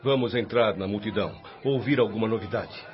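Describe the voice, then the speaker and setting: An actor playing a friendly, solemn male spirit guide in a radio drama, measured and explanatory. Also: fairly low pitch, lightly acted. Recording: muffled radio play with background music.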